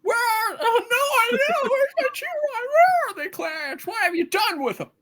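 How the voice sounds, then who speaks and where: A woman voicing a frantic, bewildered, exasperated family man, husky, in a hammed-up amateur play reading. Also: very high-pitched, very animated, normal speed, noisy background, pitched above the performer's own voice. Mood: desperate.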